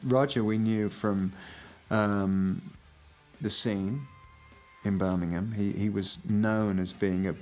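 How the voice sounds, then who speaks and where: A man's voice: fairly low pitch, steady tone, takes it slowly, faint music behind. A man recalling how his band formed, in an easygoing way. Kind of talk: documentary interview and car chat.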